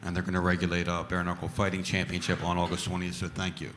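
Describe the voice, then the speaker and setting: A man's voice: low-pitched, completely flat delivery, fast talker, background noise. A man introducing fighters one by one at a press conference.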